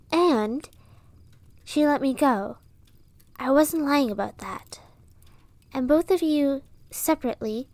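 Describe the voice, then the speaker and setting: A woman's voice: high-pitched, a little mellow, dry recording. A woman playing a brave, pleading young commoner girl in a fantasy audio drama, speaking earnestly. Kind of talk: fantasy audio roleplay acting.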